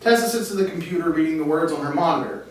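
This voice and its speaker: A man's voice: fairly high pitch, very fast. Man narrating script, very echoey room. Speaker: narrator reading stage directions. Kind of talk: scripted narration at a table read. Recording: echoey room, far mic, faint noise.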